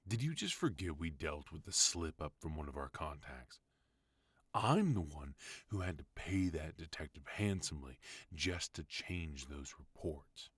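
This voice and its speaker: Guy doing a ruthless mafia boss husband for an asmr roleplay, super low voice, dry close sound, cold and menacing. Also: lively intonation, unhurried, breathy edge, dry recording, heavily acted. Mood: cold, threatening.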